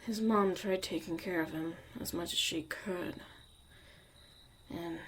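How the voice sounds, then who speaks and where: Low, unhurried young witch played by a woman. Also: fairly bright tone, a little hiss or hum, low-quality recording. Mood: reflective, fond. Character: young witch mother, tough, protective survivor, wry and caring. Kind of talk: intimate ASMR roleplay monologue.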